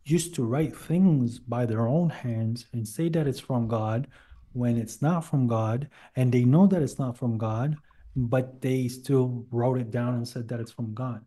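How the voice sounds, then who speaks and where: A man's voice: mid-range voice, a little slow, dark tone. A man arguing a religious point informally as a debate guest, explaining it insistently. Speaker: debate guest defending Islam.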